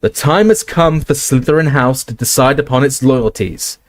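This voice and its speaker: A man's voice: medium pitch, normal speed, no room sound, lightly acted. A man voicing a stern, commanding older female professor with a clipped, imperious manner.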